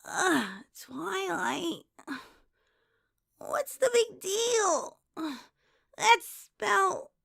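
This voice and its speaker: A woman's voice: very high voice, big pitch swings, extremely slow, gravelly, pauses often, fairly bright tone, dry recording, studio quality, voice pushed up, exaggerated voice. A woman voicing a brash, confident young female cartoon pony with a scratchy voice and a blunt, cocky manner. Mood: puzzled, irritated.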